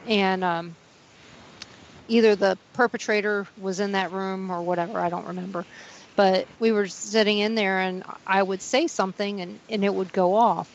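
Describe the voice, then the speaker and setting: Low-voiced woman radio host, chuckling. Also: fairly even intonation, normal speed. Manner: rambling, chuckling storyteller. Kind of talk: casual paranormal talk radio chat. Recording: dull lo-fi sound with faint hiss.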